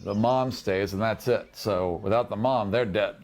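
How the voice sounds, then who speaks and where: A man's voice: on the low side, moderate pace, a little mellow. A man acting as game master, narrating casually and improvising in blunt, informal, sweary speech.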